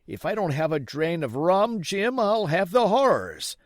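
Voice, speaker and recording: A man voicing a sick, desperate old pirate in a storybook, wheedling and blustering in rough sailor's dialect. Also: sits high, very animated, unhurried, no echo, clean studio sound, pitched above the performer's own voice. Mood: desperate pleading. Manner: rough nautical dialect, pleading and cursing.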